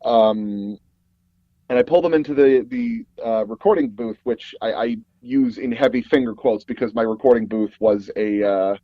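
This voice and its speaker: A man's voice: medium pitch, normal speed, no room sound, highs cut off. A man speaking as an interview guest, an audio drama producer telling casual stories and acting out the dialogue he quotes. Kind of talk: casual anecdotal interview storytelling.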